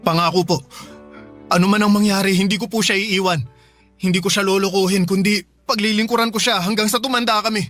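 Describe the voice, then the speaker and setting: Devoted, anxious young husband: earnest radio drama delivery, slightly high voice, faint music behind. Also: male voice, fairly even intonation, a little fast, fairly bright tone, dry recording. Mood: earnest, respectful. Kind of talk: Tagalog radio drama acting.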